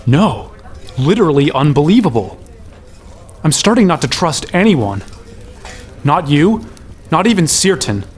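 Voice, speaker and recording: A man's voice: fairly high pitch, sing-song, a little slow, long pauses, faint music behind. A young actor playing an earnest fantasy hero, telling his adventure in conversational first person in an audio drama. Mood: disillusioned. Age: young adult.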